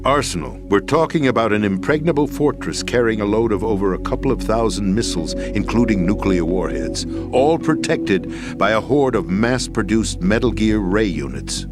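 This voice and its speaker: Man playing a grave, low-voiced deposed president. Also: animated, music in the background, lightly acted. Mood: grave, ironic. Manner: measured, formal, deliberate explaining with weighty pauses. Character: weary deposed president, grave, formal political schemer. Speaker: video game character in a cutscene. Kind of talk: dramatic expository video game acting.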